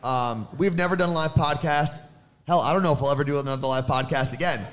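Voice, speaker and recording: A man's voice: on the high side, fast, a bit bright. A young man hosting a live podcast before an audience, chatty and joking. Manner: laughing, jokey banter. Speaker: radio host.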